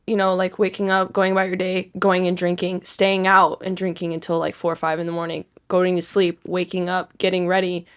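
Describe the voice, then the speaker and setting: A young woman, hoarse today, telling party stories on a podcast and acting out what people said. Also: lowish voice, a little fast, few pauses. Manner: animated, acts out quotes. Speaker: co-host. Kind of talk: casual podcast storytelling.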